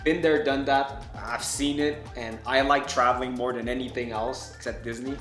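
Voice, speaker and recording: A man's voice: medium pitch, steady tone, normal speed, a bit bright, reverberant, soft background music. A man in his thirties from Quebec with a Québécois French accent, chatting casually as a travel vlogger with an easygoing manner and frequent laughs. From Quebec, Canada. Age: 30s. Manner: easygoing, laughs often, says "like" a lot. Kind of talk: casual chat.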